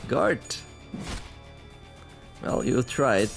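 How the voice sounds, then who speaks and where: A man commentating a tactics game as he plays it, reading the story text aloud. He rambles, corrects himself often and adds wry asides, and his voice has gone a little raspy after a long day of recording. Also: mid-range voice, sing-song, extremely slow, faint music behind. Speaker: gameplay commentator.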